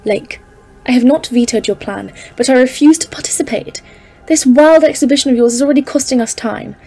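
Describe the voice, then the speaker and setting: A woman playing a cautious, stern geologist leading an expedition in an audio drama, speaking in a formal, measured, literary way. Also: high-pitched, mellow, husky. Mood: firm, disapproving. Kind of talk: dramatised narration in an audio play.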